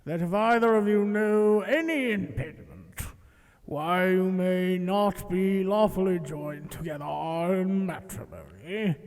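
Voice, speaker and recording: Solemn parson, high voice, slow and ceremonious, echoey church, period drama. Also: male voice, lively intonation. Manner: ceremonious, measured, indignant when challenged. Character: solemn, scandalised country clergyman. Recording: echoing church hall.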